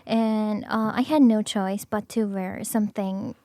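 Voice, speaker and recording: A woman's voice: medium pitch, steady tone, unhurried, dark tone, no echo. A woman in her twenties, raised in Iran, speaking English with an Iranian accent as a guest in a studio interview, careful and hesitant. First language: Persian. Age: 20s. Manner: careful, hesitant, with many "uh"s and some non-native phrasing. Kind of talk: radio interview. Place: radio studio.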